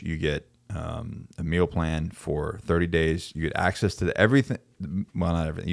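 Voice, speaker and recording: A man in his thirties hosting a casual podcast, talking earnestly and emphatically with many restarts, on a studio boom mic. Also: vocal fry, no room sound. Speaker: podcast host and coaching company owner. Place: podcast studio, dark backdrop. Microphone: studio mic on boom arm with headphones.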